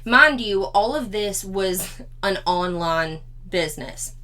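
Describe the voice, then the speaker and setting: Slightly hoarse chatty woman. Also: medium pitch, lively intonation, slow, sharp tone. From Four-State area of Missouri, Arkansas, Kansas, Oklahoma. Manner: chatty, laughs often, restarts phrases. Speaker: YouTube commentary host. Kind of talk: casual commentary vlog.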